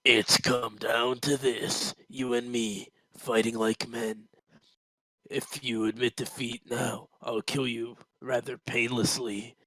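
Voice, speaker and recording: A man's voice: medium pitch, level tone, takes it slowly, pushed and bright, dry recording, low-quality recording. Man as a cocky hero fighter, dry sound. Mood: cool, threatening. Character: confident, commanding young male hero fighter.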